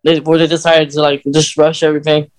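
A podcast host chatting casually, giving opinions in a hesitant, halting way. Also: mid-range voice, level tone, low-quality recording. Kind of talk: casual film-fan chat.

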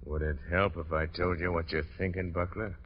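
Deep, commanding, uncanny crime fighter. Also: male voice, flat delivery, normal speed. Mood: calm, probing. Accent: American. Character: uncanny mind-reading crime fighter, commanding, cold and menacing. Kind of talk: 1940s radio drama acting. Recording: muffled, noisy old-time radio recording.

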